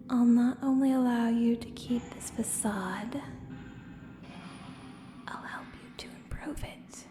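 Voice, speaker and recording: A woman playing a menacing, coolly seductive contract killer in an ASMR audio roleplay, speaking in a hushed, deliberate, breathy voice with pointed pauses. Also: a little high. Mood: cool threatening. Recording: roomy long reverb, clear background noise.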